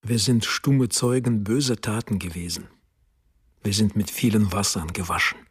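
A man reading a document aloud for a radio feature in a grave, measured way. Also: on the low side, steady tone, husky. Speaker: narrator. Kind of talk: scripted radio narration.

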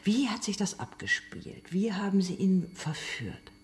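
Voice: medium pitch, very animated, measured pace, in a whisper. An actor voicing an interrogator in a naturalistic radio drama, questioning in a pointed, insinuating way. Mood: insinuating, pressing. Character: probing, relentless interrogator questioning a witness.

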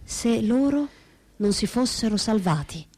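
A woman giving a solemn, measured dramatic reading of a literary text. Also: on the high side, lively intonation, darkish tone, breathy edge. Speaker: stage reader. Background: wind instrument music.

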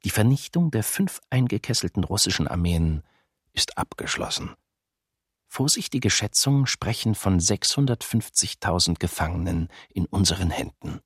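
A man's voice: sits low, lively intonation, normal speed, breathy edge, no echo, clean studio sound. An actor playing a cold, self-assured male wartime soldier reading his diary in a documentary radio drama. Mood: cold, matter-of-fact.

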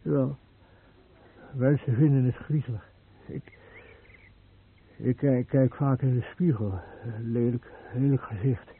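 Radio drama: lonely burn patient, slow halting fragments and stammer, narrow tinny sound. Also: male voice, mid-range voice, slight background noise. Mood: dejected. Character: badly burned male car-crash patient, halting, lonely, insecure. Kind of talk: Dutch radio drama acting. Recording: thin phone-like band, muffled radio recording.

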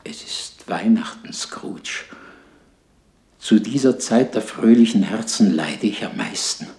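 An actor playing the tormented ghost of a dead miser in a 1960s German radio drama, solemn and lamenting, half-whispering at times. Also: male voice, on the low side, lively intonation, slow, darkish tone. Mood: tormented, mournful. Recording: echoey 1960s radio play recording.

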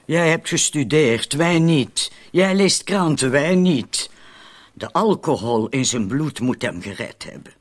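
Anxious accomplice with swooping pitch. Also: male voice, lively intonation, takes it slowly. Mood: reproachful. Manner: hesitant, questioning. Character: anxious, doubting, wordy accomplice in a murder plot. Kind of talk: Dutch-language radio drama acting.

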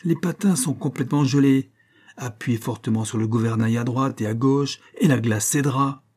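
A man's voice: mid-range voice, moderate pace, a little mellow, close to an everyday voice. A man voicing a blunt, sarcastic frontier man who gives advice in an adventure audiobook. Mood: gruffly helpful. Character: sardonic, blunt frontier onlooker giving advice, male.

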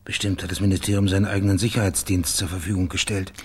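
A man's voice: low-pitched, level tone, fast talker, darkish tone, slightly rough. An actor playing a laconic, matter-of-fact male private detective in a radio drama, persistent in his questioning. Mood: sober, musing.

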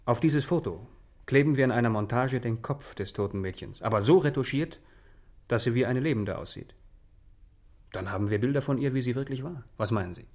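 An earnest police detective of medium pitch, played by a man in a radio play. He is deferential and slightly hesitant, yet eager to put his ideas forward. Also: brisk, darkish tone, natural voice.

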